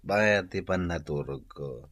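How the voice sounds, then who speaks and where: A man's voice: low-pitched, lively intonation, a little slow, a bit bright, dry recording. A man with an Ilocano accent playing a drunken, jealous, clingy young husband in a radio drama, slurring and wheedling. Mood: tipsy, wheedling.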